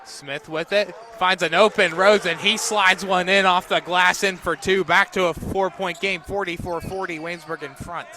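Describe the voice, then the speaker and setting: A man's voice: sits high, steady tone, moderate pace, hardly any pauses, background noise. A man calling a live basketball game on radio as the play-by-play announcer.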